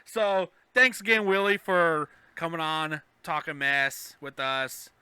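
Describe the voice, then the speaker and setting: Crisp, very bright male co-host. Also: on the high side, animated, slow pace, dry recording. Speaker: podcast co-host. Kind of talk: casual fan chat.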